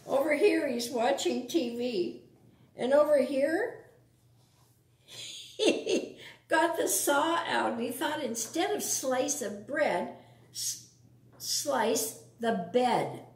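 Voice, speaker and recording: A woman's voice: high-pitched, lively intonation, slow, pauses often, reverberant. A woman reading a picture book aloud to young children, adding casual explanations and questions. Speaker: storytime reader.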